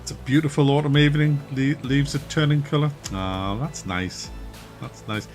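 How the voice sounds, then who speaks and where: A man with a Wearside Mackem accent from near Durham, casually chatting with viewers during a game stream, relaxed and chatty, often laughing and trailing off. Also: mid-range voice, moderate pace, soft background music. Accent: Wearside (Mackem) North East English. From North East England, near Durham. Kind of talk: casual live-stream chat reading viewer comments.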